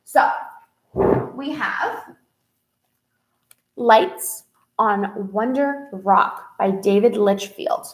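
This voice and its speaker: A young woman presents a children's story time in an expressive, sing-song way, as a librarian talking to kids. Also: medium pitch, very slow, long pauses. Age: young adult. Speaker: children's librarian hosting a read-aloud. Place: small indoor room with whiteboard.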